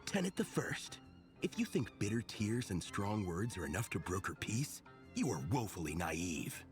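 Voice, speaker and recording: An actor playing a zealous, grandiose young fan club member in a video game, declaiming pompously. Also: male voice, on the low side, very animated, moderate pace, soft background music. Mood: solemn, fervent.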